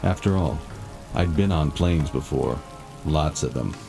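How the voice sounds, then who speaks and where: A brooding grown man, haunted by his past. His voice is exceptionally low, narrating in a measured, dramatic first-person style. Loud music runs underneath. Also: creaky, full character voice. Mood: brooding, wistful.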